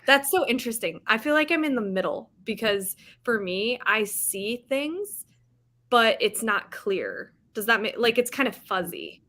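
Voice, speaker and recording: Woman, bright voice, livestream host, animated, giggly, casual group chat. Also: on the high side, a little slow.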